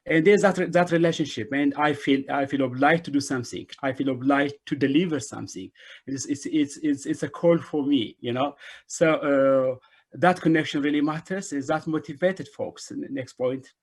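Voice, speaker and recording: A man's voice: a little high, normal speed. A middle-aged man giving a conference presentation over a video call, repeating words and phrases to drive points home. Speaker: invited speaker, refugee organization director. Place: small indoor room, remote video call.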